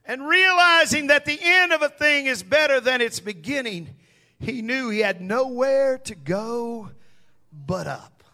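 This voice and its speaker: Slow, high-pitched preacher with a piercing voice. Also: male voice, pushed and bright. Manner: emphatic, calls on the congregation to respond. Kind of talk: sermon to a congregation.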